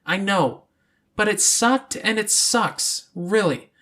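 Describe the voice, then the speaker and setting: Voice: medium pitch, expressive, slow, fairly bright tone, voice pushed up. A narrator voicing a teasing, moody and blunt teenage girl in an audiobook. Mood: hurt, blunt.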